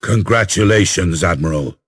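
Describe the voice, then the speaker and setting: Grave, deep-voiced Inquisitor. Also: male voice, slightly rough, dry recording, clean studio sound. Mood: solemn, approving. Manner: solemn, formal, measured. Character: grave, solemn male Inquisitor of a sci-fi empire. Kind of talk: dramatic video game voice acting.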